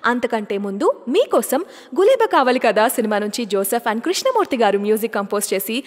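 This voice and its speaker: A woman radio host with a high, bouncing voice talks in a breezy, chatty way and keeps turning to her listeners for a quick nod of agreement. Her voice carries a long reverb tail, as if it were ringing through a large hall. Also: big pitch swings.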